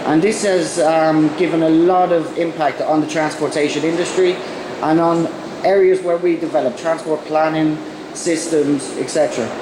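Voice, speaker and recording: Brief: Irish man with a cold presenting at a conference, slightly high voice, roomy hall with noise behind. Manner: halting, many ums and restarts. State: has a cold. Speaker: conference speaker. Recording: echoey hall with background noise.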